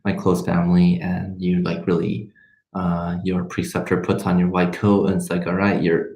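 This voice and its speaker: Low, gravelly young man chatting casually. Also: monotone, normal speed, dark tone. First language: Spanish. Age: 20s. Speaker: medical student and vlogger hosting a live question session. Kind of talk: casual livestream question-and-answer chat. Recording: roomy, echoing sound.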